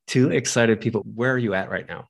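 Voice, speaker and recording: A man's voice: mid-range voice, brisk. A man hosting an interview podcast over a remote call, bantering with self-deprecating jokes. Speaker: podcast host.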